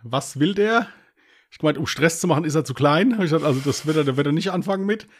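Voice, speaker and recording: A man's voice: a little high, lively intonation, fast. A young man co-hosting a casual podcast, telling rambling stories and laughing at his own jokes. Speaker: podcast co-host. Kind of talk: casual chat, anecdote telling.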